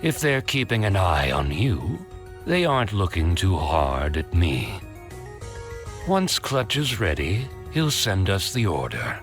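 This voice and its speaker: A man's voice: deep voice, wildly swooping pitch, slow, faint music behind, heavily acted. A man voicing a sly, calculating octopus infiltrator villain with a controlled, menacing manner, in a cartoon comic dub. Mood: cold scheming. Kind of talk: animated comic dub acting.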